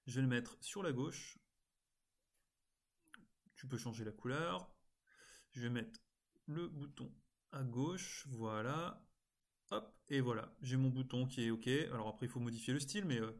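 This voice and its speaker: A man presenting an informal screen-recorded software tutorial, explaining step by step in a casual way with frequent little interjections. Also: medium pitch. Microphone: screen-recording voice-over.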